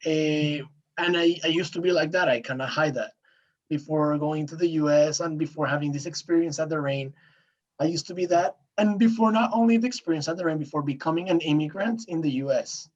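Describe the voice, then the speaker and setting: Colombian-accented man, podcast guest, earnest, halting, fairly high voice. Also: lo-fi sound. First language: Spanish. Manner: earnest, searching for words, frequent "eh" fillers. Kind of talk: casual interview talk.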